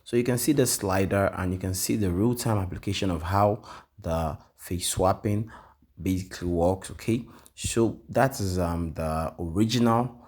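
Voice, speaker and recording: A man with a very low, slightly husky voice talks viewers through a software demo. He repeats himself often and checks in with "okay?" after nearly every point, filling pauses with "um.". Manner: repetitive, frequent "okay?" and "um" fillers. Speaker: tutorial presenter. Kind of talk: screen-share software walkthrough.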